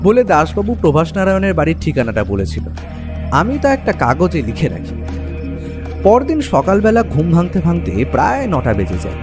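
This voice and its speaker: A man's voice: a little high, huge pitch swings, moderate pace. A man with a Bengali accent giving dramatic first-person narration of a detective story. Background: music under the narration.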